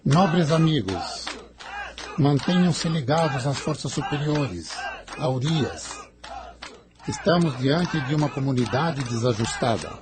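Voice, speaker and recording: An actor playing a noble, calm spiritual guide in an audio drama, speaking formally and in a measured, solemn way. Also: male voice, medium pitch, crowd noise, noisy background. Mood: grave, urging.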